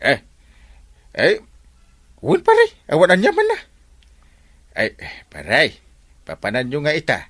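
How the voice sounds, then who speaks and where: An actor playing a jovial, helpful village captain in an Ilocano radio comedy drama. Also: male voice, fairly high pitch, wildly swooping pitch, slow pace, pauses often, a bit bright, no echo, clean studio sound, heavily acted. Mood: jovial, friendly.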